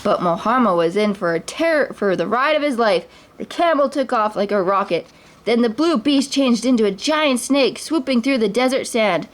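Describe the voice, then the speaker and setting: A woman's voice: a little high, lively intonation, normal speed. Woman reading a children's storybook. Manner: gentle, with small slips and restarts. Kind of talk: storybook read-aloud.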